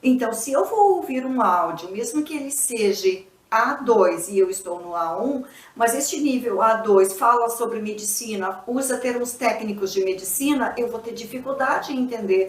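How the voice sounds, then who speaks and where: A native Portuguese-speaking woman teaches English in a patient, explanatory way, pausing to check in with her students. Her voice sits a little high. She sounds a bit away from the mic, in a room with a noticeable echo. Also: lively intonation, far from the mic. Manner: didactic, checks in with frequent tag questions. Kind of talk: explanatory video lesson.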